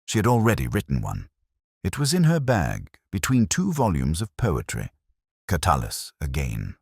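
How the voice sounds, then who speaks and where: Man voicing a weary middle-aged inspector, extremely deep, rough-edged, somber, reflective, first-person mystery narration. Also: huge pitch swings, no echo, clean studio sound, exaggerated voice. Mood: brooding, melancholy. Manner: somber, reflective, measured literary phrasing. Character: weary, melancholy male English police inspector recounting a case.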